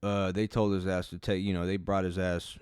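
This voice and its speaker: A man with a lowish, smooth, resonant voice riffs through a casual reaction commentary. He swears freely, stumbles over his words and restarts often. The sound is dry and close. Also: little pitch movement, brisk, darkish tone, no echo. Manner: profane, rambling, many restarts. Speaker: commentary channel host.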